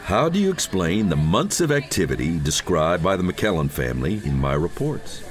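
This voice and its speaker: A man's voice: deep voice, very animated, normal speed. A man playing a smug, condescending self-styled psychic in an audio horror story, speaking with theatrical emphasis. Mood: insistent.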